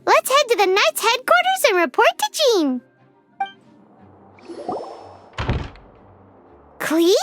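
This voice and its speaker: A woman voicing a tiny, chatty, excitable fairy companion in a video game, cheeky and exclamatory. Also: heavily acted. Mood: puzzled. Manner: squeaky, exclamatory, refers to herself in third person. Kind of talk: scripted video game character acting.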